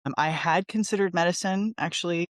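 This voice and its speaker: A woman's voice: on the low side, brisk, no room sound, clean studio sound. A middle-aged woman with an American accent tells her story in a candid, informal interview style. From Texas, United States. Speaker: podcast guest, lawyer and coach.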